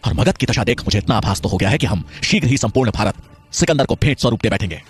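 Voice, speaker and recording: A man's voice: mid-range voice, animated, fast talker, breathy edge, soft background music. A man voicing a proud, principled scholar-teacher in a historical story, formal, solemn and declamatory. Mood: defiant.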